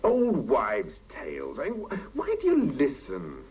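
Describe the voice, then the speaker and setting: An actor playing an anxious, disapproving Soviet husband with a rough voice in a 1970s radio drama. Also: male voice, medium pitch, wildly swooping pitch, slow, a little mellow, slight background noise. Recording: old 1975 mono radio cassette.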